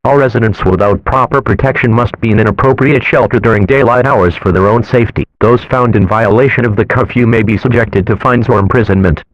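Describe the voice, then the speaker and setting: A man's voice: mid-range voice, brisk, few pauses, no room sound. A man reading a scripted emergency alert announcement in a formal, official manner. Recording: muffled lo-fi audio, loud noise.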